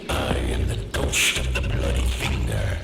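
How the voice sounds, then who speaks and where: A man doing a low, moaning ghost. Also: fairly even intonation, measured pace, creaky, roomy sound, far from the mic, very noisy, full character voice. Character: moaning ghost creeping closer.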